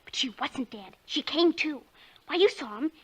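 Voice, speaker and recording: High, bright, insistent young girl. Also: lively intonation, moderate pace. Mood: conspiratorial. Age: child figure. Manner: urgent, pleading, stubborn. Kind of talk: 1940s radio drama acting with narration. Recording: old 1940s radio recording.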